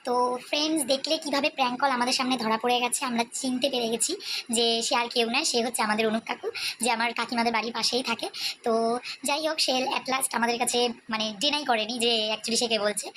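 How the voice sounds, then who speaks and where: A woman's voice: on the high side, steady tone, brisk. A woman with a West Bengal Bengali accent talking casually to viewers as a vlogger, chatty and mixing English words into her talk. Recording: faint background noise.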